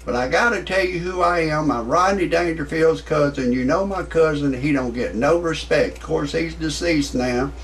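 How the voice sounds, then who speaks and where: A cussing, blustering man in his sixties with a drawl, delivering a comic rant. His voice sits high for a man. He sounds some distance from the mic. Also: normal speed, hardly any pauses, slight background noise. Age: 60s. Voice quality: profane, blustering. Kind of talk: ranting stand-up monologue.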